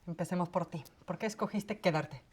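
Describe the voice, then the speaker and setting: A woman hosting a podcast interview who asks brisk, direct questions. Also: lowish voice, darkish tone, breathy edge.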